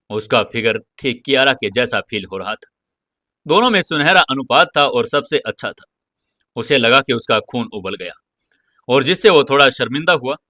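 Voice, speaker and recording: A man narrating an audio story in a dramatic, expressive scripted style, close on the mic. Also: mid-range voice, no echo.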